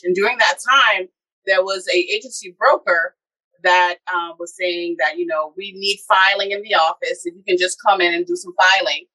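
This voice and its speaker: A woman's voice: medium pitch, pushed and bright, low-quality recording. A woman from the Bahamas, a podcast guest casually telling her story and laughing often. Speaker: podcast guest, insurance agency owner. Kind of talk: casual interview chat.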